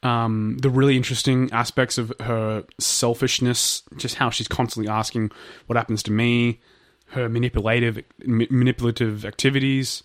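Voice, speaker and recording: A man's voice: medium pitch, little pitch movement, normal speed, dry recording, clean studio sound. A man co-hosting a casual true-crime podcast, a bit nasal from a blocked nose, rambling with lots of fillers and restarts. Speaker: podcast co-host.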